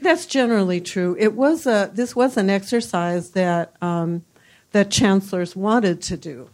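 A woman's voice: medium pitch, a little mellow. An older woman answering on a university panel, speaking in a reflective way with many fillers. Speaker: panelist, former university provost.

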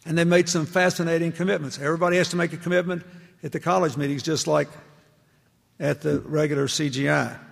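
A man's voice: on the high side, creaky. A man giving a public lecture to university students, telling anecdotes in an earnest, conversational way. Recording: echoey large hall.

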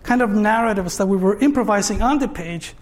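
High-voiced Mexican-accented man. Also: a little fast, slight background noise. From Mexico, Tijuana. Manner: hesitant, filler-heavy, frequent tag "no?". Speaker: artist guest. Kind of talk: conversational talk on stage.

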